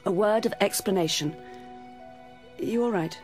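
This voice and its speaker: A woman with a British accent playing a gentle, attentive hospital nurse in a horror audio drama. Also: mid-range voice, measured pace, faint music behind, lightly acted.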